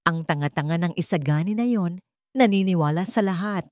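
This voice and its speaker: A woman's voice: on the low side, fairly quick, darkish tone, dry recording, studio quality, lightly acted. A woman with a Filipino accent voicing a cold, calculating, cruel female schemer in a story, with scornful delivery.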